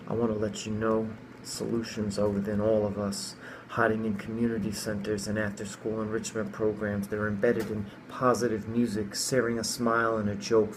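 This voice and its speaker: A man reciting spoken-word slam poetry in an earnest, emphatic way, with rhythmic rhymes and deliberate pauses. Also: on the low side, slight background noise, low-quality recording. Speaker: slam poet.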